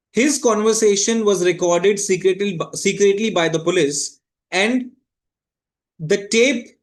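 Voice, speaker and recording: A man with an Indian accent lecturing on law in a didactic, explanatory way, repeating phrases for emphasis. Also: high voice.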